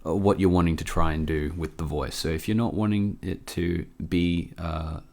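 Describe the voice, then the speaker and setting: A man hosting a casual software tutorial, informal and a bit hesitant as he thinks aloud. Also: low voice, fairly even intonation, normal speed. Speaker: tutorial host.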